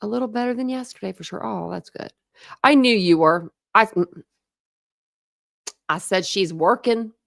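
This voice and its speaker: A chatty woman hosts a loose true crime livestream and wanders between topics with quick asides. Her accent blends the American Midwest and South, her pitch sits in a middle range, and the sound is dry and close. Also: vocal fry, dry recording. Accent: mixed Midwest and Southern American. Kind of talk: casual livestream chat reading comments.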